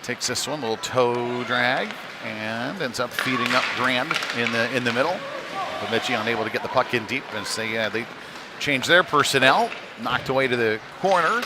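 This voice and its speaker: A man's voice: mid-range voice, normal speed. A man doing live play-by-play of a youth hockey game in a loose, folksy running style. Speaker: play-by-play commentator. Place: indoor ice rink. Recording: noisy live rink stream, background din.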